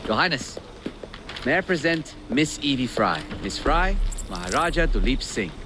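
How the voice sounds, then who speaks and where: An actor playing a courteous, scholarly gentleman in a video game cinematic, precise and polite with gentle wryness. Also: mid-range voice, sing-song, moderate pace, noisy background, close to an everyday voice. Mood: earnest, formal. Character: courteous, scholarly gentleman ally, devoted and wry.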